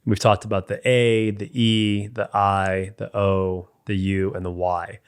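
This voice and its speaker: A man's voice: fairly low pitch, level tone, a little slow, clean tone. A man speaking as a men's mentor, giving practical faith-based advice in an emphatic, direct way. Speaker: men's mentor and podcast co-host. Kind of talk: faith-based coaching talk.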